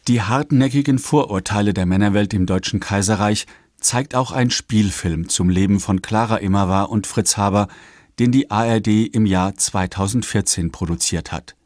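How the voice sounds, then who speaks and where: A man reading scripted radio documentary narration in a measured, articulate way. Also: fairly low pitch, a little mellow, no echo, clean studio sound.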